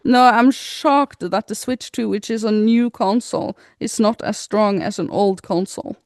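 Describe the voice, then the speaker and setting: A woman's voice: mid-range voice, moderate pace, no echo. Chatty woman podcast host, dry sound. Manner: animated, laughs often, rambling with restarts. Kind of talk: casual chat.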